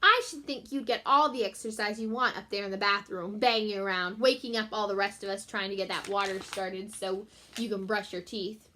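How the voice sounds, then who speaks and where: A woman voicing a smug male house cat from a children's storybook, with a sharp, needling, boastful manner. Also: medium pitch, steady tone, fairly quick, pitched below the performer's own voice, natural voice. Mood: snide.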